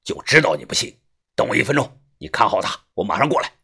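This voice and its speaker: A man's voice: on the low side, sing-song, fast, gravelly, bright, forceful tone, husky, voice pushed down, exaggerated voice. A man voicing a short-tempered older man guarding a field, who barks blunt, scornful lines. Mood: gruff. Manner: barking, blunt.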